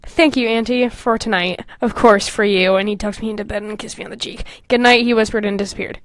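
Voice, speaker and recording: A young woman co-hosting a casual podcast, animated and sweary, with self-mocking asides. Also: mid-range voice, quick pace, no room sound. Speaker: podcast co-host. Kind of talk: casual podcast chat, reading old fan fiction aloud with mocking commentary.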